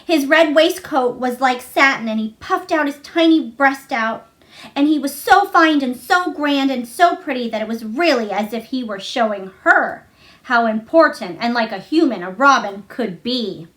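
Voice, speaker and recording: High-voiced woman reading a children's story. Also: lively intonation, moderate pace, a bit bright. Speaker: storybook reader. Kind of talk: read-aloud children's story narration.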